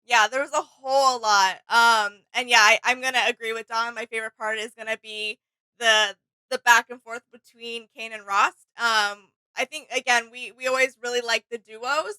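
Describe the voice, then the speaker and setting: A woman's voice: a little high, steady tone, moderate pace, bright, forceful tone, clear, resonant tone, dry recording, poor audio. A woman co-hosting a video game reaction show, chatting casually in an agreeable, explanatory way.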